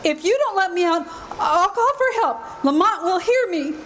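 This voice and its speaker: A woman's voice: very high-pitched, expressive, normal speed, a bit bright, slight background noise. A woman playing a proper, defiant lady in peril in an old-time radio drama, with an insistent, melodramatic delivery. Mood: alarmed, demanding. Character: alarmed, defiant young lady trapped by a villain. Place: live performance in a café.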